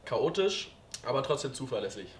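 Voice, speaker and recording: A man's voice: medium pitch, big pitch swings, a little fast, a little hiss or hum. A man in his twenties, a dating show contestant, goofy and a little unsure in casual chat. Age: 20s.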